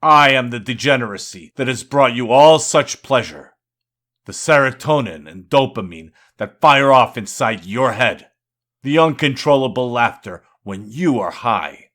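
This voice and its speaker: A man's voice: medium pitch, expressive, a little slow, fairly bright tone. A man voicing a boastful, defiant god of wine and excess in a grandiose, insistent poetry recital. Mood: proudly boasting.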